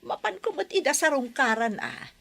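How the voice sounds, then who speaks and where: Brief: expressive daughter, bright lively female voice. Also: high-pitched, normal speed. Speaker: daughter in a family drama. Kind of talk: Ilocano radio drama acting.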